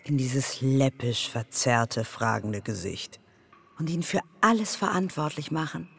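An actress playing a mocking, goading inner voice of fear in a radio drama, husky and teasing. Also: female voice, rumbling low, animated, a little slow, slight background noise, full character voice. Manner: teasing, swooping delivery.

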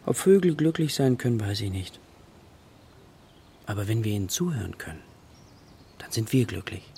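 A man's voice: on the low side, sing-song, moderate pace, vocal fry, dark tone. An actor playing a reflective, patient, bird-loving father in a children's radio play. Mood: gentle, musing. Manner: musing, patient. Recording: outdoor birdsong noise behind voice. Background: birdsong.

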